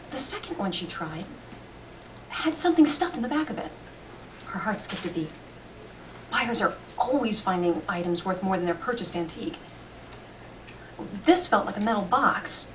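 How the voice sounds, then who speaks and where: Female story reader, animated mid-pitched delivery, slightly distant mic with faint room noise. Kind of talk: expressive scripted narration.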